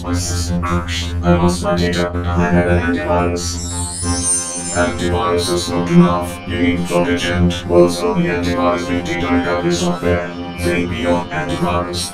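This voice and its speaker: A man voicing a cartoon boy hero in an advert, through a robotic, pitched computer filter. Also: expressive, unhurried, vocal fry. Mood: dramatic. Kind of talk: advertising dialogue. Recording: heavily echoing processed audio with music.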